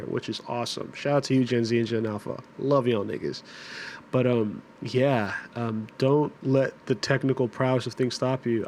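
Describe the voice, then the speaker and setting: A streamer muses out loud in a relaxed, thoughtful way, working through each idea step by step. His voice sits at a middle pitch, with a faint hiss of noise behind it. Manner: thoughtful, builds long reasoned points. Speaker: streamer reacting to a video. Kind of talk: casual reflective commentary.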